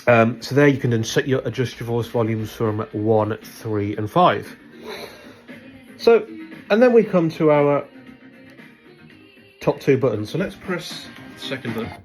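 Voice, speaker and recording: A man presenting a step-by-step product demonstration, instructing in a halting way as he thinks aloud. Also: mid-range voice, expressive, darkish tone, faint music behind.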